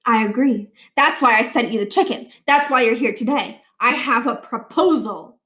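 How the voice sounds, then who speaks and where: An earnest know-it-all schoolboy from a storybook, put on by a woman. He lectures with measured self-assurance in a high voice. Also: pushed and bright. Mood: eager, conspiratorial.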